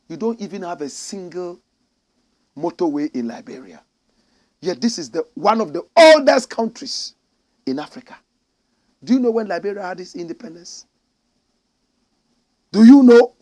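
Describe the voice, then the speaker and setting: A high-voiced man from Ghana delivers fiery political commentary. He preaches and scolds, jumping from shouted outbursts to low, muttered remarks. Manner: preachy, emphatic, swings from shouting to low asides.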